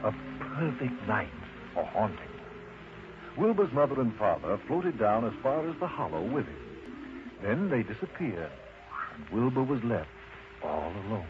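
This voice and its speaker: Guy reading a kids story, very expressive and up and down, noisy lo-fi audio. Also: mid-range voice. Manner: expressive, dramatic. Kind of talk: scripted children's story narration. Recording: muffled lo-fi audio with clear noise.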